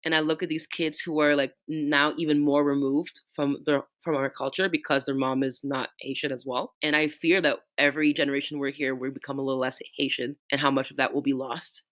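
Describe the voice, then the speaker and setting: A woman's voice: extremely low pitch, level tone, fairly quick, hardly any pauses, a bit bright. A young woman from Canada co-hosting a casual podcast chat, talking with passion, swearing freely and ranting at length. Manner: impassioned, profane, ranting. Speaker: podcast co-host.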